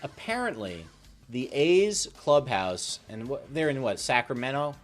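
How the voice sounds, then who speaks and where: Male sports talk host, mid-pitched. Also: very animated, measured pace, faint music behind. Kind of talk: casual topic presentation.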